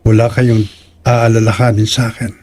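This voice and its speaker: A man's voice: on the low side, little pitch movement, normal speed, a little mellow, close to an everyday voice. An actor playing a kindly, troubled young man haunted by nightmares in a horror audio drama. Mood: troubled, earnest.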